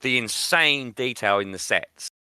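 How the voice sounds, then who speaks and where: A man's voice: mid-range voice, animated, measured pace, bright, forceful tone, clear, resonant tone, no room sound. A man co-hosting a podcast, chatting casually about film in an opinionated, emphatic way. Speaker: podcast co-host.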